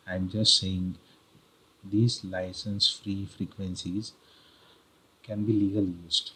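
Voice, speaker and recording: A man with an Indian accent presenting an explanatory tutorial in a deliberate, repetitive, instructional way, with a husky voice. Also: low voice, bright, forceful tone, poor audio. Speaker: video guide presenter.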